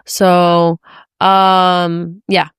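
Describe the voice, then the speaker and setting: A woman's voice: on the low side, flat delivery, drawn-out words, clear, resonant tone, no room sound. A woman from Spain hosting a podcast in a casual, chatty way, often dropping "like" into her sentences. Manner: informal, chatty, peppered with "like". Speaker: podcast host. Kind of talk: casual conversational interview.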